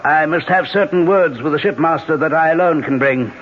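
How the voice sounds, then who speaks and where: A man's voice: a little high, fairly quick, close to an everyday voice. Man acting a commanding Irishman, muffled old radio. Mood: courtly, hospitable. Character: tough, decisive Irish gunrunner, later a courteous aristocratic lord. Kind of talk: 1970s radio drama acting. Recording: muffled, noisy vintage radio broadcast.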